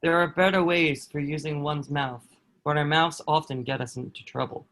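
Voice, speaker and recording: Man playing steadfast protective soldier, lightly high voice. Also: steady tone, moderate pace, fairly bright tone. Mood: gentle, sympathetic. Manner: earnest, formal period phrasing. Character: protective, steadfast soldier, kind friend to condemned girl. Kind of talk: dramatic table read of historical play.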